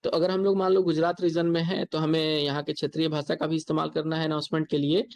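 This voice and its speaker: An instructor with an Indian accent delivers a software tutorial. The explanation moves step by step in a mid-pitched voice, with key points repeated along the way. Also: flat delivery, quick pace.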